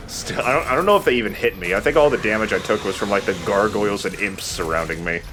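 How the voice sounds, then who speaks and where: A man's voice: lowish voice, fast talker, a bit bright, soft background music. A man giving casual commentary as he plays a shooter game, as host of a let's play.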